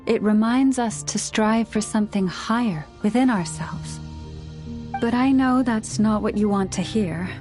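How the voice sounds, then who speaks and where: An actress voicing a solemn, gentle moon priestess in a video game, speaking in a measured, formal and earnest way. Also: female voice, medium pitch, over music, natural voice. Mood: solemn, confessional. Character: solemn, gentle young woman, devout moon priestess of a clan. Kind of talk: video game character dialogue.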